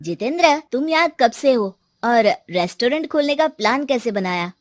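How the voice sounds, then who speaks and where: A woman's voice: a little high, animated, normal speed, no room sound, clean studio sound, lightly acted. A woman voicing a casual, questioning young man in a storybook tale. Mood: curious. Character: cautious, casual young male friend on holiday.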